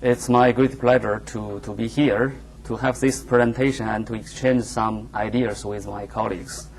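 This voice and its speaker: A man's voice: lowish voice, fairly even intonation, rough recording. Chinese-accented man giving an academic talk. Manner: formal, careful, deliberate phrasing. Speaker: visiting researcher giving a seminar. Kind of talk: academic presentation. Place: university lecture room, indoors.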